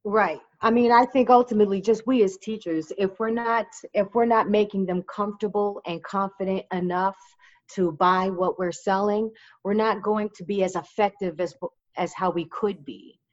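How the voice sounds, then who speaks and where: A woman's voice: mid-range voice, steady tone, moderate pace. Earnest woman teacher, dull audio. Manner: earnest, emphatic, reflective. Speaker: Spanish teacher. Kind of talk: conversational interview.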